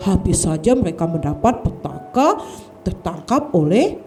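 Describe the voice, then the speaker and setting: A woman telling a children's story in a lively, sing-song way, often addressing the children as friends. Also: lowish voice, normal speed, slight background noise.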